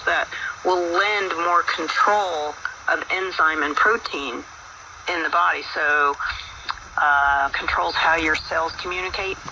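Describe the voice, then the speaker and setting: Loud shortwave radio noise surrounds a distant-sounding man. His voice sits fairly high for a male. He explains things informally like a radio host, halting often and adding folksy asides. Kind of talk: informal explanatory talk on a broadcast, reading quotes aloud.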